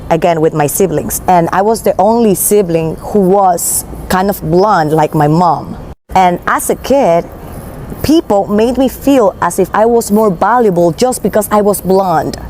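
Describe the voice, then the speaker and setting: A woman's voice: lowish voice, darkish tone, slight background noise. A woman from the Dominican Republic earnestly explaining her family story in a personal video.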